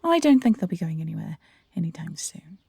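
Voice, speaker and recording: A woman voicing a smug, menacing bounty hunter in an audio roleplay, taunting and condescending in an unhurried way. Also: deep voice, big pitch swings, vocal fry, background noise, heavily acted. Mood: smug gloating.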